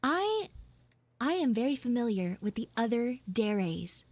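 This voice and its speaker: A woman's voice: fairly high pitch, very animated, unhurried, no echo. A woman livestreamer talking casually and expressively to her viewers in informal, exclamatory storytelling. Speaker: VTuber livestream host. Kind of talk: live roleplay chat with viewers, casual storytelling.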